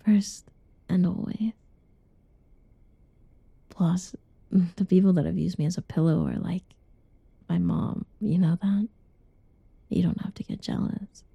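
Young woman, soft teasing ASMR whisper, slow, gravelly fry, dry close mic. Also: fairly low pitch, no echo, highs cut off. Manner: playful giggles between lines. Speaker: roleplay narrator. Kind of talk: ASMR roleplay.

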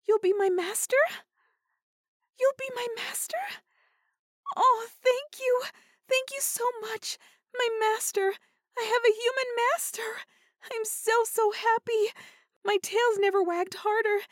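A woman acts out an adult female dog-human hybrid for an audio roleplay, in a very high voice. She is submissive and excitable, speaking slowly in a breathy, pleading way. The recording is close and dry. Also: dry recording. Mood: overjoyed, excited. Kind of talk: intimate scripted audio roleplay.

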